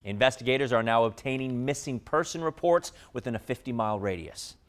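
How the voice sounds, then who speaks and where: A man's voice: medium pitch, a little fast, a bit bright, a little hiss or hum. A man with an American accent reading scripted television news as an anchor.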